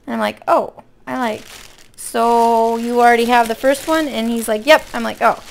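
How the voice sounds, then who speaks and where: A woman with a hoarse voice from being sick, chatting casually in a folksy, rambling way as a craft vlogger. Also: on the high side, steady tone, normal speed, slight background noise, low-quality recording. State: hoarse from illness with a cough. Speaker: craft vlog host. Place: indoor room at a table.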